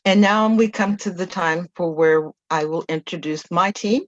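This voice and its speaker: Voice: mid-range voice, normal speed, no echo, muffled recording. A general evaluator introducing her team in an online meeting in a formal, wordy manner.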